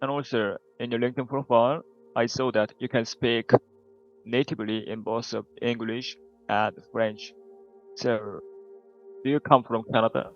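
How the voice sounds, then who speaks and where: A man's voice: mid-range voice, steady tone, moderate pace, faint music behind. A man answering job interview questions over a video call, hesitant, with short answers and long fillers. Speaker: job applicant. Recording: dull lo-fi video call audio.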